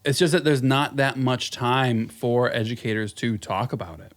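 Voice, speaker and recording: Midwest dude on a podcast, sarcastic, says like a lot, rambles on. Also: male voice, mid-range voice, fairly even intonation, normal speed. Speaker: podcast guest, naturalist. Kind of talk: casual chat.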